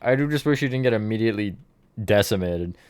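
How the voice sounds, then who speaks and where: A man's voice: fairly low pitch, expressive, quick pace, clean tone, dry recording. A man streamer chatting casually with his viewers, counting blocks and answering chat while he builds.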